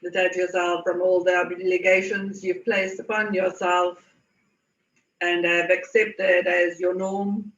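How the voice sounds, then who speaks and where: A woman leading a guided meditation in a soothing manner, with unhurried pauses and repeated phrases. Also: lowish voice, level tone, fairly bright tone. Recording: muffled, echoey, distant from mic.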